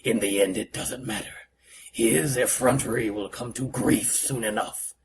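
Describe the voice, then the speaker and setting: A man playing a menacing, arrogant older martial arts master, speaking with pompous, theatrical slowness and a gravelly edge in a fan-made voice comic. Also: fairly low pitch, steady tone, background noise. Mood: cold menace. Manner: slow, pompous, theatrical threats.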